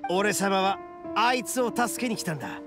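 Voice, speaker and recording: High-pitched, brash oni gang boss. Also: male voice, lively intonation, moderate pace. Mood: proud, resolute. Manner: rough, boastful, swaggering delivery. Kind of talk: Japanese anime game voice acting. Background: game music.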